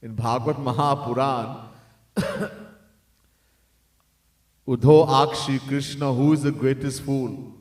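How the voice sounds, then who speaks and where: Earnest swami in an echoey hall. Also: male voice, medium pitch, steady tone, slow pace, long pauses, a little mellow. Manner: earnest, repeats key points for emphasis; breaks into sung chanting of verses. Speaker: swami, spiritual teacher. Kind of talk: devotional discourse. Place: temple hall. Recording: echoey room, mic set far off.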